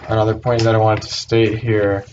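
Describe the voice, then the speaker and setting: A man's voice: fairly low pitch, little pitch movement, a little fast. A young man from Puerto Rico hosting a casual podcast chat, hesitant, with many fillers and restarts. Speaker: host. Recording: dull lo-fi sound with faint hiss.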